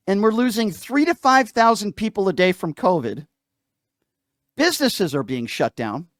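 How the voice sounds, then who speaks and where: A man's voice: high-pitched, dry recording, studio quality. A man hosting a live call-in show, debating politics in an argumentative, insistent way and repeating phrases for emphasis.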